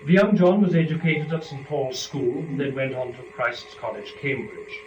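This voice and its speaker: A man speaking in a formal, deliberate, articulate way, as a performer presenting poetry to listeners. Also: medium pitch. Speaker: performer and lecturer. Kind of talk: dramatic poetry reading and introductory lecture. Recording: echoey room, distant mic, dull, noisy.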